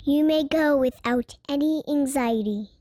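A woman's voice: sits high, measured pace, warm tone, dry recording, clean studio sound. A woman voicing wary, dutiful little goat kids in a storybook, childlike and earnest. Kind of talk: storybook character voice.

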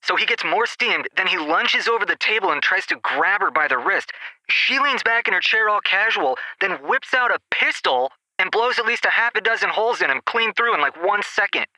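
Excited clubgoer radio caller, high pitch, piercingly bright, slangy, eager storytelling. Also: male voice, fairly quick, no echo, exaggerated voice, poor audio. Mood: excited, amazed. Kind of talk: caller acting in audio drama.

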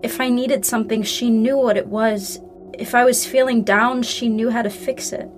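A woman's voice: on the high side, fairly even intonation, normal speed, close to an everyday voice. A woman playing a young, grieving daughter, wounded and earnest, in a naturalistic audio drama. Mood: hurt, grieving. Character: grieving daughter, wounded, angry yet willing to reconcile. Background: music.

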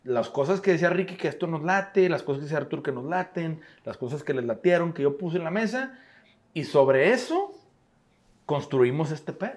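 Mexican dude cohosting a podcast, kinda high voice, cussing and laughing thru stories. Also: male voice, animated, moderate pace, darkish tone. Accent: Mexican Spanish. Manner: swearing, laughing, storytelling. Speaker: podcast co-host. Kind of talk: casual chat among friends. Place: studio bar set.

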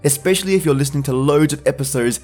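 A man with a slightly high voice speaks as a podcast host. He addresses listeners directly in an outro style, with music playing faintly behind him. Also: very fast, darkish tone. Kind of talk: scripted podcast outro with listener shout-outs.

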